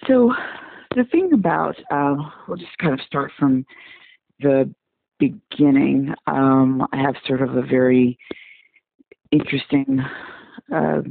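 A man's voice: mid-range voice, slow pace, gravelly, pauses often, dry recording. A man in his forties from Congo, a physician guest giving earnest interview answers that repeat words while he searches for phrasing. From Democratic Republic of Congo. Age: 40s. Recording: dry, tinny phone-like call line.

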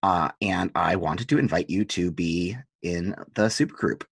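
A man playing an awkward vigilante team recruiter in a comedy sketch, speaking in a husky, halting way. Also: low voice, dry recording, studio quality, exaggerated voice. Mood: awkward, earnest. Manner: hesitant, halting.

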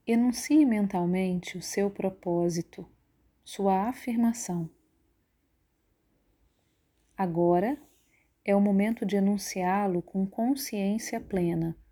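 A woman leading a guided yoga nidra relaxation in a calm, measured, instructive way. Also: mid-range voice. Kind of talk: guided relaxation meditation narration.

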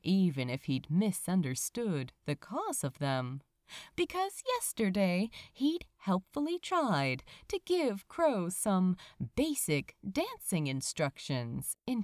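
A woman reading fantasy fiction aloud in an expressive, wry, theatrical storytelling manner. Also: medium pitch, slow pace, no room sound, studio quality. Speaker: fan fiction audiobook reader.

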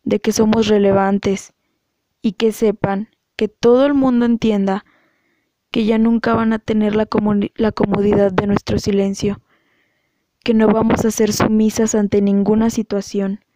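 A woman with a Mexican accent giving an earnest, measured testimony as a guest speaker. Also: medium pitch, little pitch movement, dark tone, dry recording, highs cut off. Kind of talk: prepared testimony.

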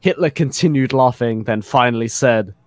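A man's voice: medium pitch, moderate pace, noisy background. A man narrating a comedic dramatic reading of fan fiction in a mock-serious storytelling manner.

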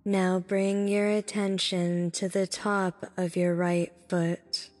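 A woman narrating a guided meditation in a soothing, deliberate manner, speaking in short instructive phrases. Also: fairly low pitch, little pitch movement, darkish tone. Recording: long reverb with background music. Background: music.

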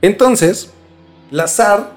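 A man's voice: sits high, drawn-out words. A man with a Mexican accent telling a story in a casual, slangy podcast chat as host. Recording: music bed behind the talk. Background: music.

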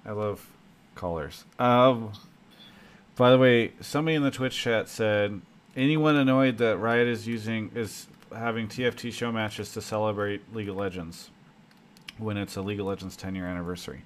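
A man's voice: lowish voice, steady tone, moderate pace. A man hosting a call-in esports talk show, joking and teasing between callers. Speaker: show host.

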